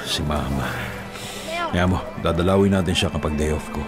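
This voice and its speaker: An actor playing a caring, hardworking Filipino delivery rider, earnest and coaxing, in a radio drama. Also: male voice, extremely low pitch, normal speed, loud music behind the voice. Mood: reassuring.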